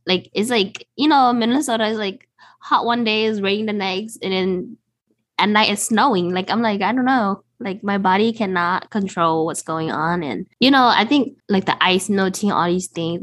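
A woman co-hosts a casual podcast with a stuffy nose from a recent cold. She jokes about herself in an easygoing way, at an ordinary pitch for a woman. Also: fairly bright tone. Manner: joking, self-deprecating. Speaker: podcast co-host.

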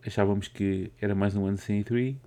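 Male podcast host, European Portuguese accent, low mellow tone, chatty and rambling. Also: fairly even intonation, fairly quick. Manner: chatty, rambling with many fillers. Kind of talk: casual gaming podcast chat.

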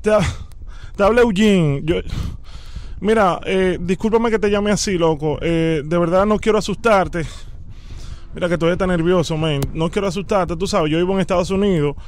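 High-pitched Dominican man, insistent and pleading. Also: moderate pace, a little hiss or hum. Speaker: prank caller. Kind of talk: phone prank, improvised pleading conversation.

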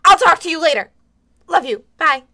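A woman's voice: very high-pitched, animated, normal speed, noisy background. A woman playing a flustered, doting suburban mother in a comedic audio drama, fussing and reassuring. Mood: agitated.

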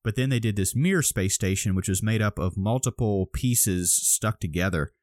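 A man's voice: on the low side, moderate pace, darkish tone, dry recording, clean studio sound. A man co-hosting a podcast, explaining a topic step by step in casual chat, a little groggy. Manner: explains step by step with many restarts. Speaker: podcast co-host.

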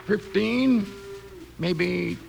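An actor playing a sly, money-grubbing old frontiersman with a folksy Western drawl and a gravelly voice in a radio western. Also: high voice, drawn-out words, over music, full character voice. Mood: smug, needling. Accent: American Western drawl. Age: older. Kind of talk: 1950s radio western acting. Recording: noisy vintage radio recording.

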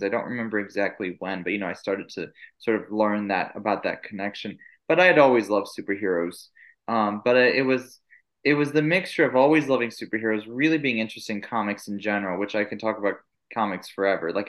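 A man's voice: lowish voice, a bit bright. Man in his 30s, first language Italian, casual guest storyteller who backtracks often. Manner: rambling, storytelling with many fillers and restarts. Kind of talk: casual podcast interview.

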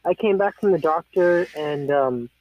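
A man's voice: a little high, unhurried, no echo. A man in his thirties telling rambling, profane anecdotes in casual chat. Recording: tinny phone-like sound.

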